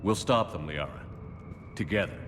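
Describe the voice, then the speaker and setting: An actor playing a steadfast military commander in a video game, firm, measured and reassuring. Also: male voice, low voice, lightly acted. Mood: resolute, reassuring. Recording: loud ambient noise behind.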